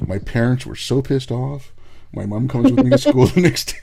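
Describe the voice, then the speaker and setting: A man hosting a podcast, telling a personal story in a lively, casual way and laughing as he talks. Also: mid-range voice, normal speed, creaky, over a noisy crowd. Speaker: podcast host.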